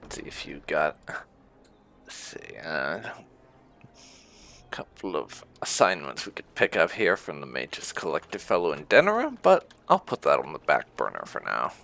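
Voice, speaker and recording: A man's voice: rumbling low, huge pitch swings, normal speed, creaky, a bit bright. A man hosting a casual roleplay game playthrough, musing and thinking aloud as he goes. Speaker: gaming video host.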